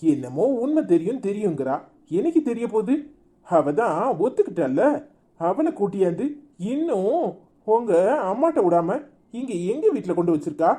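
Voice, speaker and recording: A woman playing a gruff, grumbling middle-aged husband in a comic family skit. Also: medium pitch, big pitch swings, normal speed, warm tone, close to an everyday voice. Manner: gruff, scolding.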